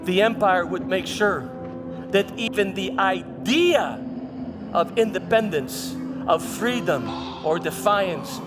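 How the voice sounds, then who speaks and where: Slow, high-pitched, proud Roman governor acted by a man. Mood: grim, intense. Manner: impassioned, halting, dramatic, with stammered repeats. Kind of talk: theatrical church monologue. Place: large church hall. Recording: reverberant hall with music.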